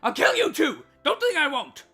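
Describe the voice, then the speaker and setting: A woman's voice: extremely high pitch, very animated, normal speed, pushed and bright, exaggerated voice. A woman playing a furious, menacing young female villain in a dramatized fan fiction reading, with indignant, emphatic outbursts. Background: background music.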